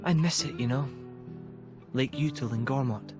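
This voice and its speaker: Thoughtful actor as a young emperor, music behind. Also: male voice, mid-range voice, close to an everyday voice. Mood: wistful, sincere. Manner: formal, measured, thoughtful. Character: gracious young male emperor, thoughtful, gently authoritative. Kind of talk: dramatic video game voice acting.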